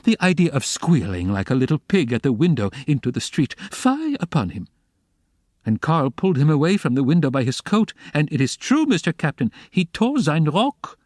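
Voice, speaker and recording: A man's voice: a little high, a little mellow, no room sound, studio quality, pitched above the performer's own voice. A man voicing a flustered German landlady with a German accent, pattering out an indignant complaint. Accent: German accent put on. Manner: pattering, rapid, aggrieved complaint.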